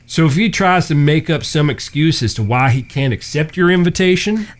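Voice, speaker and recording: A man's voice: on the high side, animated, moderate pace, natural voice. Wry folksy young cowboy played by a man. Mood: teasing. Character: teasing, smitten younger brother, Old West cowboy. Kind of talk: western audio drama acting. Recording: background music.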